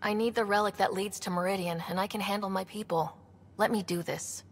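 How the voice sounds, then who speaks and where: An actress playing a young human space explorer in a video game, earnest and determined. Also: female voice, mid-range voice, steady tone, a little fast, lightly acted. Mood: firm. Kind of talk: naturalistic video game acting.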